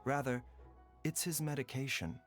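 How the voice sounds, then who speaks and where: An actor playing a gentle, weary, scholarly physician in a fantasy game, speaking in a measured, formal way. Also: male voice, medium pitch, fairly even intonation, lightly acted. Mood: grave, explanatory. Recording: game audio over music.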